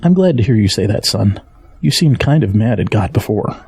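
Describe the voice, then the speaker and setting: An actor playing a gentle, worried middle-aged father in an audio drama, speaking in a measured, earnest way with a husky voice. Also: on the low side, lively intonation, no echo, studio quality, heavily acted. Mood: earnest, reassuring.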